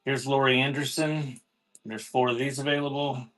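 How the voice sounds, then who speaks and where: A man's voice: medium pitch, flat delivery, normal speed, a bit bright, rough recording. Man hosting a casual livestream sale. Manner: informal, off-the-cuff announcing. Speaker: host of a live online auction selling cassette tapes. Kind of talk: casual livestream sales patter.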